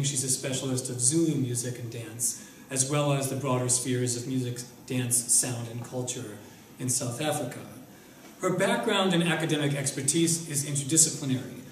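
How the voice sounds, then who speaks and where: A man's voice: mid-range voice, slight background noise. A young man at a lectern, reading a formal introduction of a guest speaker in a lecture room. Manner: measured, reads from notes at a lectern. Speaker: event host introducing a speaker. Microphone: lectern mic, filmed by far camera. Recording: echoey room from far off.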